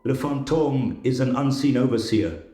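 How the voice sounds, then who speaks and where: A grave opera house manager, acted by a man, speaks formally and solemnly in a mid-pitched voice. The sound is roomy and echoing, with clear guitar music behind the ominous audio drama delivery. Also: moderate pace, warm tone, lightly acted. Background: plucked guitar music.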